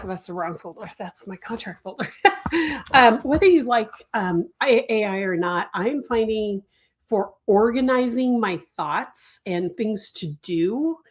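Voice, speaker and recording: A middle-aged woman, Italian as her first language, hosting a casual desk-tour vlog, rambling with many fillers and self-corrections and often laughing lightly mid-sentence. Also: mid-range voice. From United States. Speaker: crochet designer and channel host. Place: home workspace.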